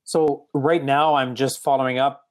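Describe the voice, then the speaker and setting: A man with a mild French accent talks in a relaxed, casual way about how he follows up with home buyers. His sentences stall and restart, padded with "you know" as he works out what to say. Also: medium pitch. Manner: halting, restarts often, many "you know"s. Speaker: real estate agent. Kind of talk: casual coaching conversation.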